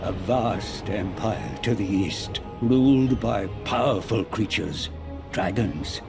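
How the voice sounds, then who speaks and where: A man's voice: on the low side, takes it slowly, creaky, music in the background. A man playing a grim, zealous adviser, speaking with grave, ominous deliberation in a dramatic game cutscene. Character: grim zealous male adviser narrating a war tale.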